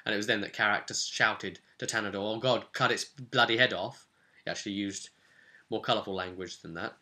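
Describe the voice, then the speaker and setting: A man with a low, forceful, bright-edged voice gives an informal recap as a game master. He tells it as a story, animatedly slipping in short quoted lines as he goes. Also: fairly even intonation, a little fast. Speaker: game master recapping a tabletop role-playing session.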